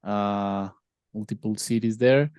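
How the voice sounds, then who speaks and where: A man from Colombia whose first language is Spanish, a student speaking haltingly on a video call as he searches for words. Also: fairly low pitch, steady tone, a little mellow, clear, resonant tone, no room sound, clean studio sound.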